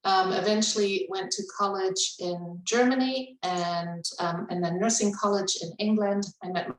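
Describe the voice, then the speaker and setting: A woman's voice: medium pitch, fairly even intonation, moderate pace. A woman who grew up in Indonesia speaks as a cultural humility trainer in a webinar, with a reflective manner and easy laughs. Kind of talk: webinar conversation. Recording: roomy, distant mic.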